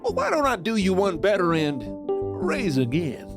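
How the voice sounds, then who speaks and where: A man's voice: high-pitched, wildly swooping pitch, normal speed, heavily acted. A man playing a sly, cocky Old West outlaw who narrates his own tale in a folksy, teasing, conversational way. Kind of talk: first-person storytelling narration in audio drama. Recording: loud music under the voice.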